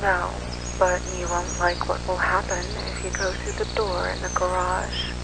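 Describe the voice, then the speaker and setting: A woman playing a grieving, vengeful woman in a dark amateur audio drama, solemn and accusing in her delivery. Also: on the low side, monotone, normal speed, gravelly, noisy background. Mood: quiet, mournful.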